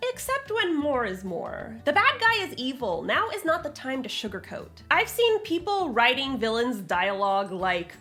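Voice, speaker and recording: A woman's voice: sits high, very animated, moderate pace, few pauses, sharp tone, faint music behind. A woman host presenting scripted writing advice in a blunt, sassy, emphatic way with punchy delivery.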